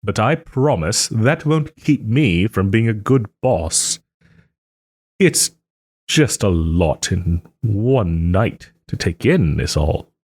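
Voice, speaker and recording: A man playing a smug but soft-hearted nobleman and former lover in an audio roleplay, suave and refined, with gentle teasing and hesitant pauses. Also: lowish voice, sing-song, breathy edge, no room sound, clean studio sound, heavily acted. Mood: apologetic, earnest. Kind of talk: audio roleplay, dramatic monologue to listener.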